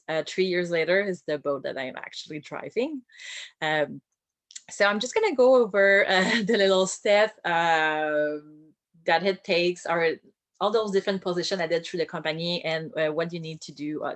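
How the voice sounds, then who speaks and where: A woman with a French accent, a boat captain giving an informal career talk. She speaks in a friendly way, with light laughs and many filler pauses. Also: low-pitched, dry recording. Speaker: boat captain and whale-watching skipper.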